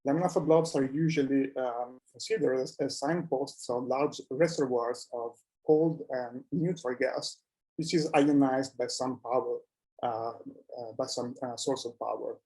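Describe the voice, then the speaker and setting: A man presenting research in a scientific conference talk, with a halting delivery that often repeats words. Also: mid-range voice, steady tone, mellow, low-quality recording.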